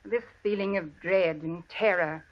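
A woman's voice: on the low side, unhurried, close to an everyday voice. A woman playing an anxious, proper preacher's widow and mother, with an American accent, in an old radio western. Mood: dread. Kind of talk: 1940s-50s radio western acting. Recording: dull vintage radio recording.

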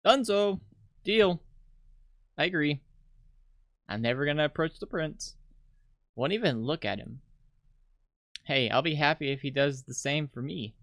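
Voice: medium pitch, lively intonation, measured pace, long pauses, fairly bright tone, no echo. A visual novel player voicing a cheerful, adoring schoolgirl in an eager, gushing manner. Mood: agreeable.

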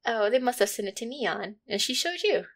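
A woman voicing an affectionate, insecure catgirl girlfriend in an intimate roleplay, with a hesitant, soft-hearted manner. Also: on the high side, lively intonation, a little fast. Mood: cheerful, casual. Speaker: roleplay audio performer. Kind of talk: intimate one-sided roleplay audio acting.

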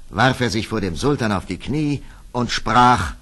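Low, husky, expressive male storyteller. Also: a little slow. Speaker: narrator. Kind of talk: scripted fairy-tale narration for an audio play.

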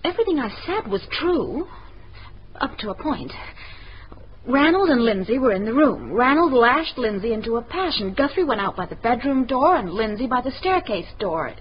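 A woman's voice: a little high, very animated, normal speed. An actress playing a strong-willed young woman in a radio mystery, direct, articulate and insistent. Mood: earnest, defiant. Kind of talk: radio mystery drama acting. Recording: muffled radio recording, faint noise.

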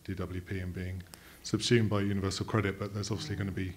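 A man. His voice is on the low side and very even in pitch as he makes a point from a conference audience. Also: quick pace. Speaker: audience questioner. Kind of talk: question and comment from the audience. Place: conference auditorium seating, indoors. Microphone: throwable box microphone.

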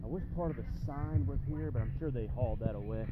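A man's voice: mid-range voice, fast, phone-quality sound. A man in his forties, filming himself on a phone outdoors, rambling through a casual walking commentary with wry asides to viewers. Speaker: vlogger. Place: outdoors on an empty demolished lot. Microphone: handheld phone camera. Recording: outdoor phone recording with loud wind and traffic noise.

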